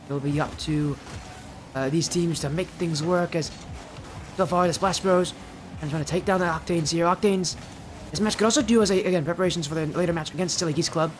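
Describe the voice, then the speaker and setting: A teenage boy with a high-pitched, youthful voice, chatting with his live stream audience and casting a video game match, loud and excited on goals. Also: brisk, slight background noise. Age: teens. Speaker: esports caster. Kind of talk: live stream chat and play-by-play commentary.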